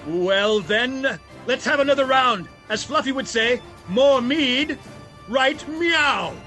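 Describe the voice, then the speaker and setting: A man's voice: very high voice, expressive, takes it slowly, sharp tone, faint music behind, heavily acted. A man playing a befuddled, mead-loving Viking warrior in a comedic scripted radio ad. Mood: dazed, eager.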